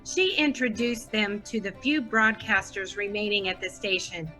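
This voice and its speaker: Radio drama narrator: devoted female fan played by an actress, bright, forceful, grandly earnest. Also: medium pitch, moderate pace, faint music behind, lightly acted, poor audio. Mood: earnest, excited. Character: Petunia Stoneberry, devoted gushing superfan narrating the saga.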